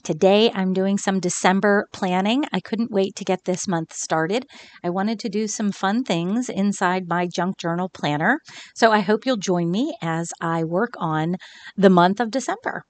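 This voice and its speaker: A woman's voice: mid-range voice, measured pace. A woman hosting a crafting video, talking through her work in a casual voice-over, her voice a little scratchy from a recent illness. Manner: chatty and relaxed, with fillers and small laughs. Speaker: craft channel host.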